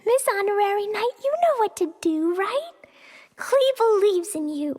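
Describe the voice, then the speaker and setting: A woman voicing a small, cute, earnest and excitable little girl in a video game, with a childlike, sometimes halting way of talking. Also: very high-pitched, soft background music. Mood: determined, pleading. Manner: childlike, refers to self in third person, halting over hard words. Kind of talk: video game character voice acting.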